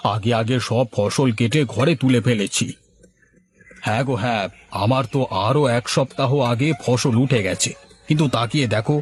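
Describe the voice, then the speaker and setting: A man's voice: medium pitch, lightly acted. A man voicing a concerned, neighbourly village farmer in a cartoon moral story, talking in a folksy, exclamatory way.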